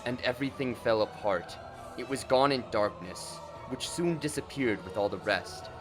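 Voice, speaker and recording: A male narrator reads a scripted dramatic story at a middle pitch. Faint music plays underneath his voice. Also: normal speed.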